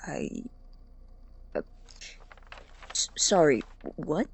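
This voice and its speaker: An actor playing an awkward, anxious young male college student in an audio drama, halting and rambling, with a creaky voice. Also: mid-range voice, sing-song, slight background noise, full character voice. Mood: flustered. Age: young adult. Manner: halting, stammering, rambling, nervous laughs. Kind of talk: audio drama acting as a personal voice recording.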